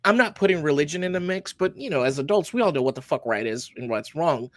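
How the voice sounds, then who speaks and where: A man's voice: fairly high pitch, lively intonation, fast, a bit bright, no room sound. Earnest veteran man from Honduras. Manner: earnest, plain-spoken, occasional swearing. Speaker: military veteran and security business owner. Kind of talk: casual interview answers.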